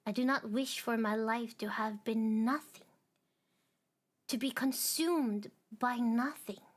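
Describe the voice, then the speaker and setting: A woman voicing a small, lonely, headstrong star who talks in quick, pushy bursts and whispers. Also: on the high side. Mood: pleading.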